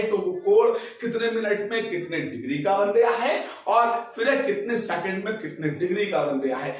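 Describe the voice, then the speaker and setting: A man teaching a maths lesson, explaining emphatically with repetition and rhetorical questions. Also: high voice. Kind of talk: spoken classroom lesson. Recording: very echoey room, distant mic.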